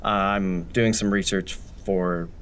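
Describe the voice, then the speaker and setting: A man's voice: low voice, fairly even intonation, slow, fairly bright tone, roomy sound, big-room sound. A man acting a nervous city architect in a horror audio drama, hesitant and stammering. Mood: flustered. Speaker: lead character in an audio drama.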